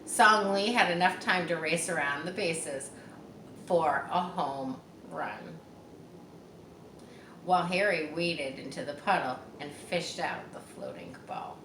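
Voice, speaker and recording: Female children's storyteller, unhurried and expressive, roomy echo, slightly distant from the mic. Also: sits low, noisy background. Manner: expressive, acts out dialogue and pauses to explain. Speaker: children's book reader. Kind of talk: animated read-aloud with casual asides to young listeners.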